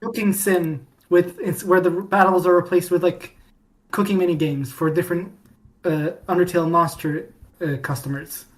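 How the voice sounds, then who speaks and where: A woman's voice: fairly low pitch, steady tone, moderate pace. A woman guest on a podcast, chatting playfully and with enthusiasm about her fan game. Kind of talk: casual podcast chat.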